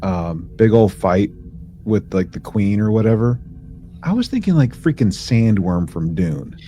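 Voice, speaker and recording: A man co-hosting a podcast, casually recapping in a halting way, as if thinking aloud. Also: lowish voice, expressive, warm tone, faint music behind. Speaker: podcast co-host. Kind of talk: casual chat recapping a sci-fi audio drama.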